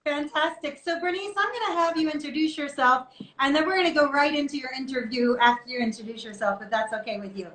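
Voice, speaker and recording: A woman's voice: high voice, fast talker, hardly any pauses, a bit bright. A woman hosting a chatty live online interview in a playful, teasing, enthusiastic way. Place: indoor room, online video call. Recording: echoey room with background noise.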